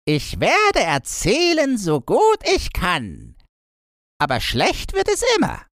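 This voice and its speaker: Man voicing a slow, swooping storybook crow. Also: high-pitched, huge pitch swings, fairly bright tone, dry recording, clean studio sound, voice pushed up, heavily acted. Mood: earnest, knowing. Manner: deliberate, drawn-out, storytelling delivery. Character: talkative, earnest crow telling palace gossip. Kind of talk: fairy-tale audiobook character voice.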